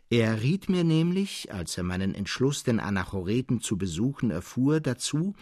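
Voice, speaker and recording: A male narrator reads literary fiction with a standard German accent. His pitch moves in an animated way and his voice has a husky grain. He phrases each clause with care, in a very dry, close studio sound. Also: no echo. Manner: expressive, carefully phrased storytelling. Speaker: audiobook narrator. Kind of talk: literary story reading for radio.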